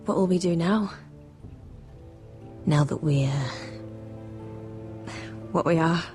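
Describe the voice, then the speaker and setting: A young noblewoman in love, her voice on the low side for a woman. She speaks softly and hesitantly, anxious yet tender, in a game drama with music underneath. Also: slow pace. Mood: tender, uncertain. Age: young adult. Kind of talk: video game drama acting. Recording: music behind the voice.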